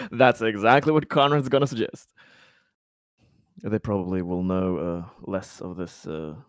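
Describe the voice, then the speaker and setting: A man playing a tabletop roleplaying game, casually narrating his character with halting, hesitant phrasing. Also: lowish voice, steady tone, no echo, studio quality.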